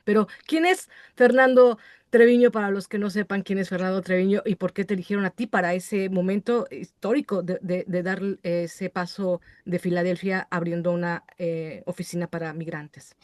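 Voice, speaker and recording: A woman's voice: lowish voice, steady tone, normal speed, darkish tone, no echo, studio quality. A woman hosting an interview, asking long, rambling questions full of asides. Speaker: interview host. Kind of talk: conversational interview.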